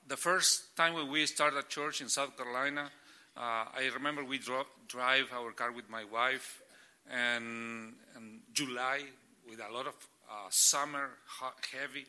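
Middle-aged spanish-speaking guy, halting english, really bright sharp tone. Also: mid-range voice. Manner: halting, searching for English words. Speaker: church planting catalyst. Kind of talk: guest sharing ministry stories.

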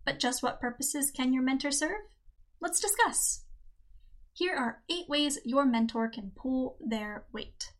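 Female podcast host, high pitch, slightly scratchy, upbeat read. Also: a little slow. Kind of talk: reading a writing-craft article aloud.

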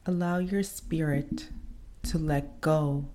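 A woman leading a guided meditation in a soothing, unhurried manner, pausing between short phrases. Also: deep voice, steady tone, mellow, a little hiss or hum.

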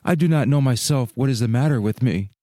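A man's voice: mid-range voice, a little fast, dark tone, no room sound, studio quality, close to an everyday voice. A man playing a young fir tree in a storybook, restless and yearning, speaking in earnest exclamations and questions. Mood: impatient longing. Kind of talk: dramatic storybook reading.

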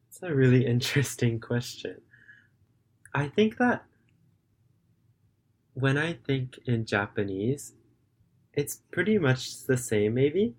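A young man in his late teens who tutors English, answering interview questions over a video call in a relaxed, earnest way with hedging fillers. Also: medium pitch, takes it slowly, pauses often. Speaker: remote English tutor and high school student.

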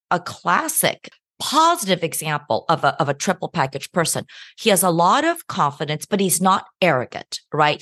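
A middle-aged woman, a law professor and author, answering interview questions in an animated way and laughing often. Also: mid-range voice, dry recording, studio quality. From United States.

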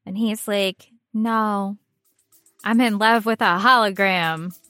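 A woman's voice: mid-range voice, steady tone, slow pace, pushed and bright, no echo, soft background music. A woman co-hosting a podcast, chatting casually about game characters in a wry, blunt, sweary manner. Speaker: podcast co-host.

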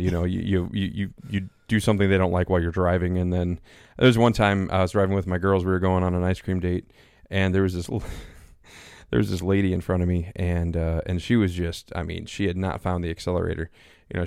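A pastor in his thirties talking casually as a podcast co-host, with a loud voice that carries, rambling with many fillers and restarts. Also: sits low, steady tone, fairly quick, gravelly, clean tone, dry recording, clean studio sound. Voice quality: loud, carrying voice. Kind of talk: casual conversation. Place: small home studio.

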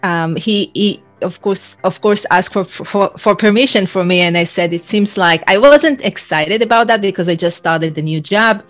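A woman's voice: mid-range voice, moderate pace, few pauses, soft background music. A woman from Israel whose first language is Hebrew, reflectively telling her life story as a guest over a video call, often restarting her sentences. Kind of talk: conversational storytelling.

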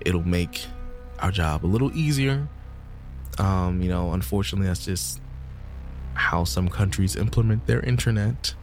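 Deep-voiced laid-back man podcasting. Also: normal speed, long pauses, faint music behind. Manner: laid-back, rambling, lots of "you know" and "um"; upbeat promo sign-off push. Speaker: podcast co-host. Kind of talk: casual podcast chat.

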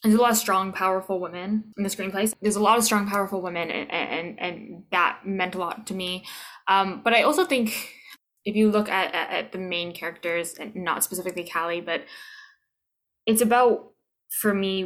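A woman's voice: mid-range voice, fairly even intonation, normal speed, a bit bright. A woman chatting casually as an interview guest, with emphatic repeats and checks for agreement.

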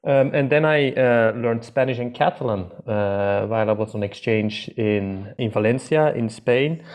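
A man's voice: mid-range voice, measured pace, darkish tone. A man from Belgium with a Belgian Dutch accent, a researcher answering interview questions hesitantly with many filler sounds. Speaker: researcher guest. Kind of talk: academic interview.

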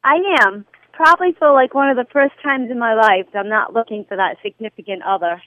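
A woman in her fifties with a youthful, fairly high voice, calling in on a tinny phone line. She chats casually, filling pauses with ums and small laughs. The sound is dry and close. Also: dry recording. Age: 50s. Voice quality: young-sounding voice. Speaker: caller. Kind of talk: casual phone call to a radio show. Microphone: telephone.

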